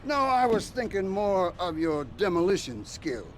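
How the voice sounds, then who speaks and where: Man doing a scheming developer, wheedling, high and lively. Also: moderate pace, a little hiss or hum. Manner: wheedling, sly. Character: shady male property developer, folksy and scheming.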